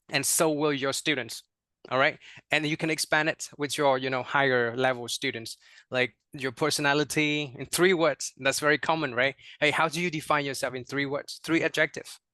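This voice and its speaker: Young Vietnamese-accented male teacher trainer on a video call; dry close sound, halting delivery with frequent "you know". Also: on the high side, steady tone, sharp tone, no room sound. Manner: many fillers and repeated words, checks in with "right?". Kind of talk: online workshop presentation.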